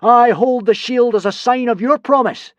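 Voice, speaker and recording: A man reading aloud, voicing a boy who poses as a thunder god and speaks in a booming, solemn, grand way. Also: normal speed, dry recording.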